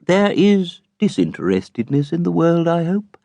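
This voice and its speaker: A man voicing a pompous, sanctimonious middle-aged father in an audiobook, speaking slowly and distinctly in an unctuous, moralising way. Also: on the high side, very animated, dark tone, no echo, voice pushed up. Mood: smugly virtuous.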